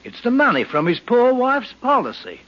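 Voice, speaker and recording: Puzzled male postman, high, very animated, 1940s radio style; very noisy dull recording. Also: normal speed, exaggerated voice. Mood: puzzled, ironic.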